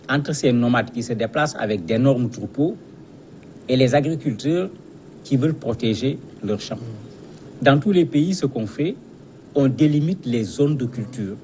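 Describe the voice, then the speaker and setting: A man's voice: medium pitch, darkish tone, a little hiss or hum, poor audio. A man giving explanatory commentary as a guest analyst in a news interview, speaking didactically and repeating words as he searches for phrasing.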